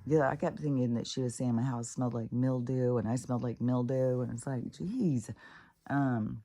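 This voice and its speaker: A woman's voice: a bass voice, fairly even intonation, normal speed, warm tone. A middle-aged woman with a stuffed-up nose, rambling through a casual personal monologue, swearing freely and restarting her sentences often. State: congested, stuffed up. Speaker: spiritual vlogger.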